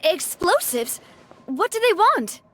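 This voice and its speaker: A woman's voice: extremely high pitch, very animated, slow pace, slight background noise, heavily acted. An actress voicing a kind, responsible young woman who leads a close-knit band in a video game. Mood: worried, decisive. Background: faint music.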